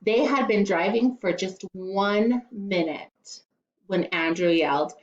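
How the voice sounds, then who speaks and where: Female primary teacher for children's read-aloud: expressive, mid-pitched, playful asides, echoey slightly dull recording. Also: slow.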